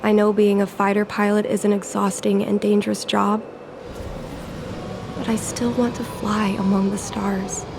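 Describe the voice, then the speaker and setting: A woman's voice: mid-range voice, moderate pace, background noise, close to an everyday voice. A woman playing a spirited, earnest young fox-woman who dreams of piloting, in a video game. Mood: earnest, determined. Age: young adult. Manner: perky, expressive, earnest. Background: music.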